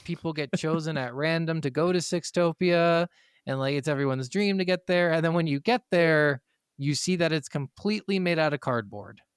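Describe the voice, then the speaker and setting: Higher-voiced man, amused casual gamer chat. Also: moderate pace, smooth, no room sound, clean studio sound. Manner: amused, excitable, frequent swearing. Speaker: podcast co-host. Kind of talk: casual video game discussion.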